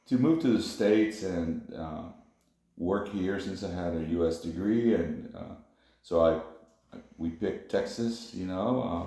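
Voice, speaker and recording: Deep-voiced middle-aged man from Honduras. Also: dark tone, heavy reverb. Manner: unhurried, many fillers and restarts. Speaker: interviewee. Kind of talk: casual family interview.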